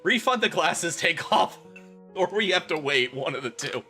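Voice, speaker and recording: A male streamer with a bright, forceful voice and a highly animated delivery. He reads fan fiction theatrically and keeps breaking off into exasperated joking asides. Light music plays behind him. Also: a little slow. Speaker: live streamer.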